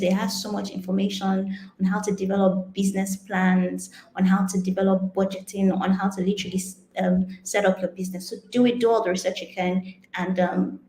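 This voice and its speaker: A woman's voice: medium pitch, darkish tone. A woman speaking as a guest expert, giving earnest, emphatic advice in a semi-formal online talk. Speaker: guest speaker on business branding. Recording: roomy, echoey sound.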